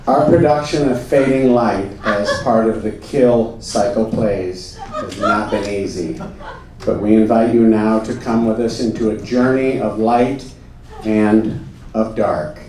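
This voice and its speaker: A man narrating a comedy puppet show on stage with mock solemnity, deadpan, pompous and halting, in a very echoey, distant recording. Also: on the low side, noisy background. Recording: very echoey, distant mic, music behind.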